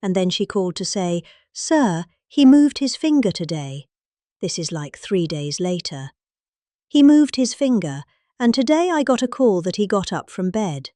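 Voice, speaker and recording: A woman's voice: medium pitch, very animated, unhurried, mellow, no echo. A middle-aged woman with a British accent giving a dramatic reading of a rambling political speech, with exaggerated emphasis.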